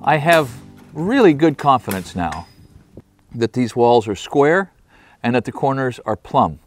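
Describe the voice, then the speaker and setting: A man's voice: medium pitch, expressive, a little slow, faint music behind. An older man, a carpenter, explaining his work in a plainspoken, practical way at an outdoor building site.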